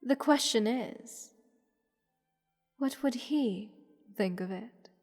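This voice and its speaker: A woman voicing a playful, haughty goddess in an intimate fantasy audio roleplay, teasing and condescending toward a captive mortal in measured, theatrical speech. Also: fairly high pitch, darkish tone. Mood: impressed, sly. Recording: long reverb with music behind. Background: orchestral music.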